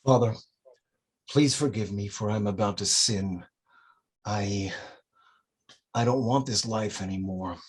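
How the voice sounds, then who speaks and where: Guy reading as a guilt-ridden priest in his 40s, husky, kinda halting, film drama table read. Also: lowish voice. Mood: anguished. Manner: hesitant, stammering. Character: despairing forty-something priest, hesitant, quietly guilt-ridden.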